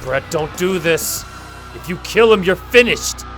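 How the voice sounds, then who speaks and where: A man's voice: high voice, lively intonation, a little slow, low-quality recording. A man playing an earnest, determined young team captain in a visual novel. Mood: alarmed. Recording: voice over background music.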